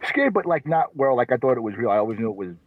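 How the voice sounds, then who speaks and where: New comedian guy, animated voice, sounds like phone audio from his car. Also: mid-range voice, very fast, dry recording, phone-quality sound. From New York. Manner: joking, repeats words when starting. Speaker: podcast guest, comedian. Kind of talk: casual chat.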